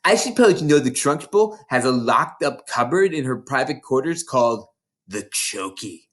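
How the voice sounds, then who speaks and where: A man's voice: medium pitch, lively intonation, normal speed, fairly bright tone, natural voice. A man acts out a big, rugged ten-year-old schoolgirl in a storybook. She is brash and boastful, pushing her words out with emphasis and savouring every grim detail. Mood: grim relish.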